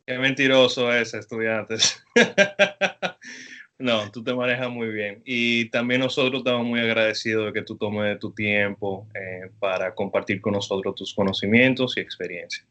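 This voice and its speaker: A man, a professor, interviewing a guest attorney for his students in an easygoing way, with frequent pauses and fillers. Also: on the low side, sharp tone. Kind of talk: class interview over video.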